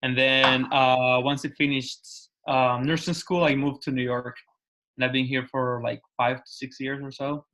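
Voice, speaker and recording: A man's voice: medium pitch, little pitch movement, moderate pace, pushed and bright, rough recording. A man from Colombia chatting on a video call, hesitant and full of ums and yeahs. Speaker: workshop participant. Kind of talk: casual video call chat.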